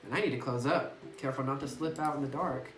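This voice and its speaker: A man's voice: mid-range voice, fast talker, a little hiss or hum, natural voice. A man reading the lines of a friendly, nostalgic ramen shop owner, in a cheerful and welcoming way. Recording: roomy distant phone recording with music.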